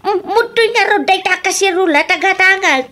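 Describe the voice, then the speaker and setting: A woman's voice: very high-pitched, normal speed, pushed and bright. A woman voicing a chatty older female neighbor in a radio drama, exclaiming and teasing.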